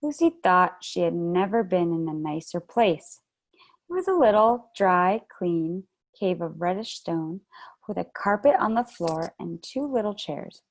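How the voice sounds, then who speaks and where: A woman reading a children's novel aloud in a calm, even storytelling manner, heard over a video call. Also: fairly low pitch, measured pace, low-quality recording. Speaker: children's read-aloud host.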